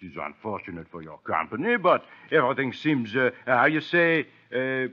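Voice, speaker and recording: An actor playing a vain, courtly Belgian detective with a French accent and pompous, mangled English in a radio drama. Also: male voice, mid-range voice, lively intonation, normal speed, natural voice. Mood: inquisitive. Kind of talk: old-time radio drama acting. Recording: muffled old radio recording.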